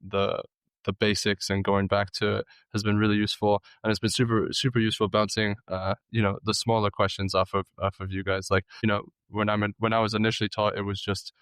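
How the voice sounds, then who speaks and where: A man's voice: lowish voice, little pitch movement, a little fast, fairly bright tone, clear, resonant tone, no echo. A young male student answering interview questions casually and hesitantly, with many fillers.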